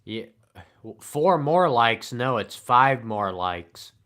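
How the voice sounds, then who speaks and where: A man streaming live and answering the chat in a flat, deadpan, sarcastic way, with long pauses between remarks. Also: mid-range voice.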